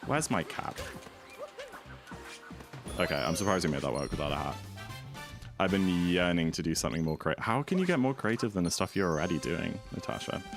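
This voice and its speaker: A young man with a deep voice, streaming and chatting easily with viewers in a rambling, relaxed way. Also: brisk, pauses often. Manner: rambling, easygoing, full of "like". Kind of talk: casual chat while gaming, answering chat. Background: music.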